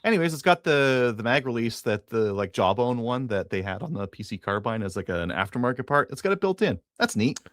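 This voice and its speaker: A man's voice: mid-range voice, very animated, a little fast, few pauses, a bit bright, dry recording, studio quality. A man co-hosting a podcast in laid-back, offhand casual conversation. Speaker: podcast co-host. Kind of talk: casual chat.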